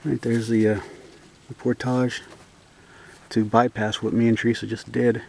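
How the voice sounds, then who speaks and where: A man's voice: medium pitch, moderate pace, vocal fry. Man, casual outdoor vlogger, rambling and easygoing, camera mic outdoors with faint background noise. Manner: relaxed, rambling, many fillers. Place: outdoors on a lake in a canoe.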